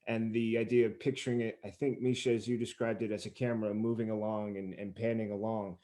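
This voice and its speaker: A man's voice: lowish voice, little pitch movement, a little fast, mellow. A man hosting a conversational interview, putting questions in a wordy, roundabout way. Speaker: interviewer, podcast host.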